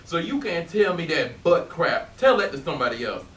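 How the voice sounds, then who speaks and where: A man's voice: a little high, moderate pace. A man acting a blunt, teasing working man in a readers theatre performance, bantering in coarse talk. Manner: animated, bantering, profane. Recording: echoey room, distant mic, faint noise.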